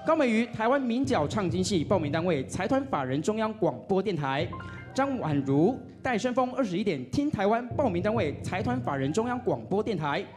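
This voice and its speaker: A man's voice: high-pitched, big pitch swings, brisk, music in the background. A man with a Taiwanese Mandarin accent presenting on stage as a radio DJ, playful and deferential in his banter. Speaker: radio DJ presenting award nominations. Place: on stage at an awards announcement event.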